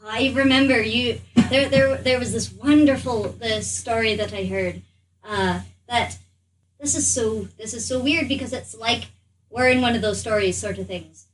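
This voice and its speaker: A woman role-playing casually in a tabletop game, halting and repeating her words as she goes. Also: a little high. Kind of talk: casual in-character role-play. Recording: distant mic, faint room noise.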